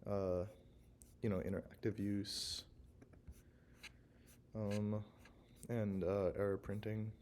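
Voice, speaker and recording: A young man with a hoarse, froggy voice from a sore throat, giving a halting, unscripted class presentation as a university student. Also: on the low side, flat delivery, a little mellow, slight background noise. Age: young adult. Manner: halting, unscripted, frequent pauses. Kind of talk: recorded class presentation, screen walkthrough.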